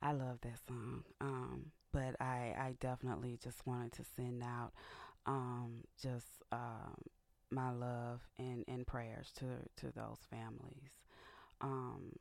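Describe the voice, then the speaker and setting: A woman hosting a faith-based radio talk show, raspy and a little hoarse from sinus trouble, speaking earnestly and building to a preacher's fervor. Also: a bass voice, level tone, takes it slowly, darkish tone, no room sound. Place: radio studio.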